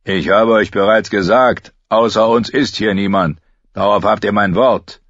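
A man voicing a gruff, stubborn older watchman in an audiobook, blunt and defiant in manner. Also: fairly low pitch, steady tone, measured pace, a bit bright, no room sound, muffled recording.

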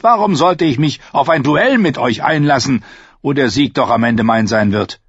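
Man doing a stern king, commanding, slow, husky. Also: mid-range voice, no room sound, highs cut off, voice pushed up. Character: stern male king, cold and unbending.

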